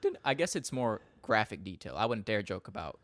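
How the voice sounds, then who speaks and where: A young man co-hosting a podcast, chatting casually in a quick, rambling way and laughing often. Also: fairly low pitch, very animated, fairly bright tone, dry recording, clean studio sound. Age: young adult. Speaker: podcast co-host. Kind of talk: casual chat. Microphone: studio podcast mic.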